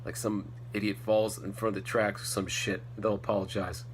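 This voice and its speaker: Low-voiced, slightly congested American man ranting. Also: flat delivery, normal speed. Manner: blunt, ranting, heavy casual swearing. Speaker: podcast host. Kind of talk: casual rambling solo podcast talk. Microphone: faulty setup, not the intended microphone. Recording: poor podcast audio with hum and noise.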